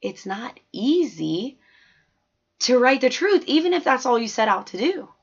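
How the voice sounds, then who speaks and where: A woman's voice: fairly high pitch, sing-song, measured pace, breathy edge, full character voice. A woman voicing a plain-spoken, observant fourth-grade girl who tells her own story in a chatty, folksy, emphatic way. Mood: wondering, earnest. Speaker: audiobook narrator. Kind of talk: scripted first-person story narration. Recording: slightly dull, lo-fi audio.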